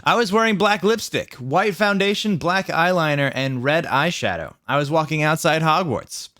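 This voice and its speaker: Male reader as goth teen vampire witch girl, self-absorbed, emphatic, melodramatic, fan fiction narration, highish pitch. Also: expressive, normal speed, a bit bright.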